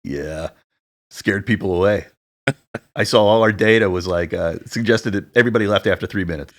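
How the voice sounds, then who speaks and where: Guy in his 40s, deep low voice, rambly podcast chat from his home mic. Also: normal speed, fairly bright tone. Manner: chatty, rambling, self-deprecating about sound gear. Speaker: podcast co-host. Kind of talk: casual chat. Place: home recording setup. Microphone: studio dynamic mic at home.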